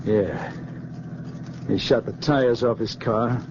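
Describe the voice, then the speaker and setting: An actor playing a vengeful, boastful American gangster in a 1940s radio crime drama. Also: male voice, mid-range voice, steady tone, slow pace. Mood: brooding hatred. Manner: tough, boastful, menacing. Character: vengeful, cop-hating American gangster jailbreaker. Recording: noisy, muffled 1940s radio recording.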